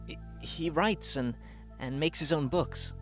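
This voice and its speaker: Woman playing male kidnapper for horror game: deepened voice, quiet, measured, calmly menacing. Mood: calm, explaining. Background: game music.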